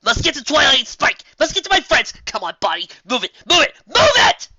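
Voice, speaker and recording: A man voicing a brash young female pegasus pony in a fantasy story, with excitable, urgent delivery. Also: extremely high pitch, fairly quick, dry recording, dull audio, pitched above the performer's own voice, full character voice. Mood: desperate urgency.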